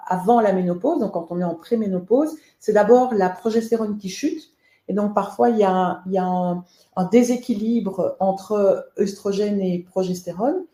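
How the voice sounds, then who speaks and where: A woman speaks as the guest in a webinar interview. Her voice is mellow and warm, and she stops often on fillers and restarts. The sound is echoey, as if she is in a bare room. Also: mid-range voice. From Belgium. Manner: hesitant, many fillers and restarts. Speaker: author and guest.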